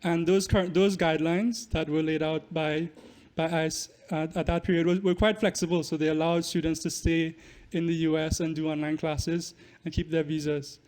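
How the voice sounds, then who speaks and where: A man's voice: on the high side, flat delivery, moderate pace, few pauses, a little mellow. A young man, a podcast guest explaining things in casual interview talk. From Trinidad and Tobago. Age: young adult. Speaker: podcast guest, musician and student.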